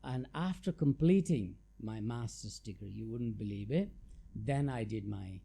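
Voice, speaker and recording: A man's voice: lowish voice, expressive, unhurried, vocal fry, dark tone, husky. A middle-aged man from Nepal, a tax adviser answering interview questions in a thoughtful, hesitant way with many fillers. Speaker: guest, chartered tax adviser.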